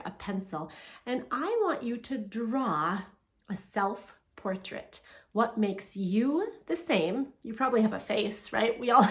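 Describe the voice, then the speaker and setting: A woman teacher leading a read-aloud and art lesson for children, speaking in simple, gentle, encouraging phrases. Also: medium pitch. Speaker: elementary school teacher.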